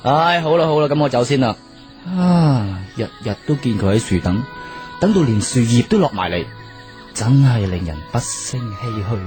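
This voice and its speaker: A man's voice: medium pitch, animated, normal speed, music in the background, close to an everyday voice. An actor playing a chatty, pushy but kindly street vendor in a radio drama, with a teasing, salesman-like way of talking. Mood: sympathetic. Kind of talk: Cantonese radio drama acting.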